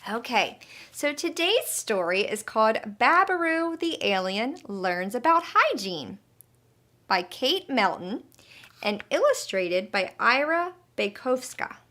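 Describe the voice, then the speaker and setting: A woman's voice: fairly high pitch, big pitch swings, slow pace, fairly bright tone. A woman leading a children's lesson and storytime, speaking expressively in simple questions and instructions, like an encouraging teacher. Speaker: children's health educator and storyteller.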